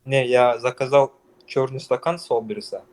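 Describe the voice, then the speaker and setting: A man's voice: mid-range voice, steady tone, moderate pace, a little mellow. A young man from Dagestan who answers questions on a call, hesitant and getting defensive.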